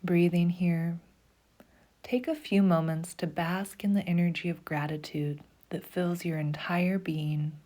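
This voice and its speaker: A woman guides a meditation in a low, mellow voice. She speaks slowly and soothingly, often sinking into a breathy half-whisper. Her tone stays dark and warm throughout. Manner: slow, soothing, even pacing.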